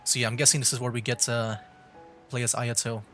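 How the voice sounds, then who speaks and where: A man's voice: mid-range voice, flat delivery, brisk, fairly bright tone, faint music behind. A man giving casual, rambling commentary on a game livestream, often repeating himself as he chats. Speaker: livestreaming VTuber gamer.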